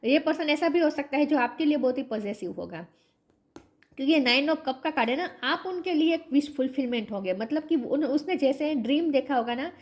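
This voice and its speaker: A woman's voice: high voice, lively intonation. A woman with a sore, coughing throat, giving a tarot reading to her viewers and chanting between card draws. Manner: chants a mantra between card draws, slow repeated questions. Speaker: tarot reader.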